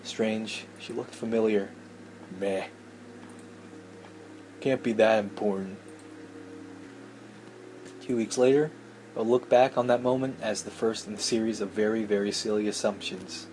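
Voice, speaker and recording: Guy reading a visual novel out loud, snarky little asides, bit of background noise. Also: fairly low pitch, steady tone, a little slow, long pauses, poor audio. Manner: sardonic asides, breaking off to joke. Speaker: let's play host. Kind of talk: reading a visual novel aloud with casual commentary.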